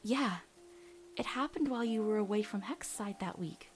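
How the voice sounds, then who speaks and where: A woman voicing a gentle, steadfast teenage witch girl, speaking in a measured, reassuring way in a dramatic comic dub. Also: mid-range voice, a little hiss or hum, natural voice. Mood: tender, admiring.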